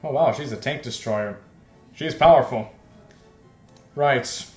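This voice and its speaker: A man's voice: mid-range voice, slow, faint music behind. Jokey man in an echoey room. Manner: jokey, self-conscious, rambling. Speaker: let's play host. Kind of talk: joking commentary and read-aloud of a visual novel. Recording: echoey, roomy home recording.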